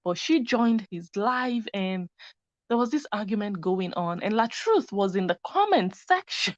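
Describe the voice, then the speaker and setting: A woman's voice: mid-range voice, animated, unhurried, no echo. Woman, live stream host, chatty, talks to viewers, lo-fi computer mic. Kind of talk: casual live stream commentary. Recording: dry, dull lo-fi sound.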